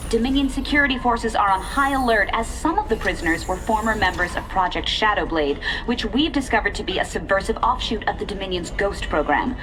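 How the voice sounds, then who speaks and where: A woman's voice: mid-range voice, lively intonation, noisy background, lightly acted. A woman as a composed American TV news reporter. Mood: composed, serious. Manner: brisk, professional, composed. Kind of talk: scripted news report in a game cutscene. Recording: music behind the report.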